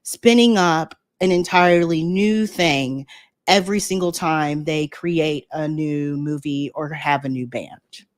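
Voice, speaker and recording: A woman's voice: low-pitched, unhurried, no echo, studio quality. A woman co-hosting a live tech stream, chatting casually and answering with short agreeing replies over a video call. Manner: brief agreeing replies, laughs along.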